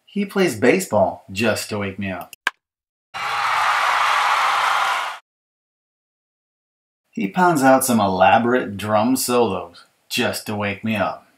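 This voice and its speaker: A man's voice: fairly low pitch, expressive, unhurried, a little hiss or hum. A man reading a children's picture book as an exasperated young girl complaining about her bratty little brother, emphatic and mock-aggrieved. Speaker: author reading his audiobook.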